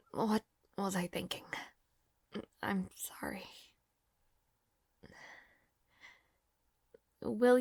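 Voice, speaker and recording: A woman's voice: mid-range voice, no echo, studio quality. A woman acting in an audio roleplay as a devoted young maid to a noble lord, nervous and caring, with a hesitant, formal and apologetic manner. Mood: wistful, apologetic. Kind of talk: scripted audio roleplay, intimate fantasy drama.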